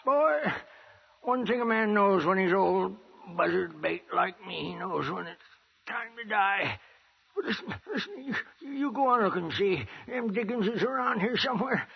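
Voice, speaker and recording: A high-voiced old prospector, folksy and excitable, drawls in rustic American speech with plenty of gravel. It is old radio western acting on a dull, slightly noisy recording. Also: male voice, moderate pace, exaggerated voice. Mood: weary, failing. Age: older. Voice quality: creaky, high-pitched. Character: old frontier prospector, folksy, stubbornly hopeful.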